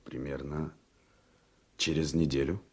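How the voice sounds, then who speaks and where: A man's voice: very deep voice, level tone, unhurried, breathy edge, full character voice. An actor playing a troubled, principled man in a radio drama, earnest and hesitant in his speech. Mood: reluctant. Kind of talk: Soviet-era radio drama acting.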